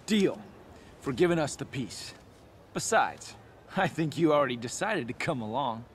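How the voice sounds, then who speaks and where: A man's voice: medium pitch, animated, normal speed, a little hiss or hum, lightly acted. A man playing an easygoing, reasonable martial arts champion in a video game cutscene, casual and conciliatory. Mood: agreeable.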